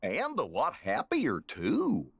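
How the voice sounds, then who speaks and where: A man's voice: mid-range voice, huge pitch swings, takes it slowly, gravelly, fairly bright tone, slightly rough, no room sound, heavily acted. A man voicing a tall, good-natured, goofy cartoon dog in a video game, with an amiable, dopey drawl. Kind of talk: video game cutscene acting.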